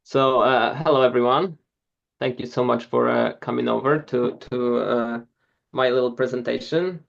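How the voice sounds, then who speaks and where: A man's voice: medium pitch, steady tone, moderate pace, vocal fry, lo-fi sound. A man, giving an informal club presentation in a hesitant way with many filler sounds. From Poland. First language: Polish.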